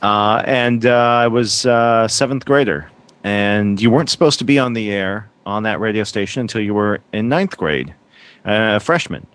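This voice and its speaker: A man's voice: on the low side, normal speed, fairly bright tone. A man in his thirties from Massachusetts, a radio DJ chatting as a guest in a casual interview, rambling with many fillers and restarts. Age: 30s.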